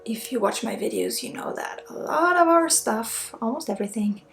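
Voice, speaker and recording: Give me a Swedish-accented woman guiding an ASMR energy healing, higher voice with gravelly fry, soft and whispery. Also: animated. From Sweden. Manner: soft-spoken, often dropping into whispers, with pauses. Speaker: ASMR energy healer and spiritual guide. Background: music.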